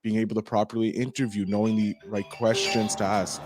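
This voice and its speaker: Low-voiced man hosting a podcast chat. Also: a little fast, faint music behind. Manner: animated, rambling, frequent "like" fillers. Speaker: podcast host. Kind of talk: casual conversational interview.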